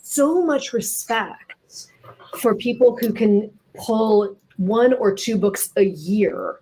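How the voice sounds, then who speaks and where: A middle-aged woman with an American accent speaks in an informal literary interview. Her pitch is average for a woman. She thinks aloud and leans on key words as she builds toward a question. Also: slow, warm tone, poor audio. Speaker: interviewer, novelist and teacher.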